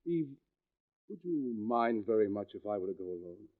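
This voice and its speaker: An actor playing a troubled, secretive husband in a 1940s radio suspense drama, with a halting, evasive delivery. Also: male voice, fairly low pitch, very animated. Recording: vintage radio, phone-like narrow band.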